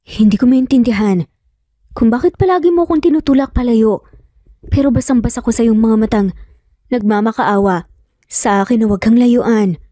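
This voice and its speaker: Lovesick man, played by a woman, Filipino accent, intense, pleading, mellow, romance story drama. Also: a little high, moderate pace, long pauses, no echo, studio quality, natural voice. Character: persistent lovesick man, father, earnest and intense.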